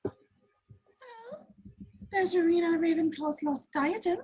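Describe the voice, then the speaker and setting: Woman playing a very high-voiced dreamy young witch girl. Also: steady tone, a little slow, darkish tone. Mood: dreamy, matter-of-fact. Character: dreamy teenage witch girl, airy and odd. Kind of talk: screenplay table read.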